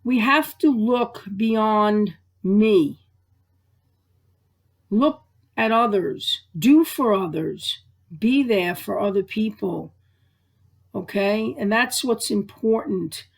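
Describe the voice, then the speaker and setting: A woman librarian reading aloud to children and families in a deliberate, expressive storytelling way. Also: mid-range voice.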